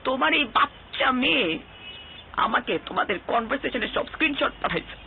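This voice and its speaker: A woman's voice: high-pitched, animated, a little fast, vocal fry. An actress playing a hurt, accusing young girlfriend in a TV drama, with a Bangladeshi accent, emotional and sarcastic, mixing in English. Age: young adult. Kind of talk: TV drama acting. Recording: thin phone-like sound, faint noise.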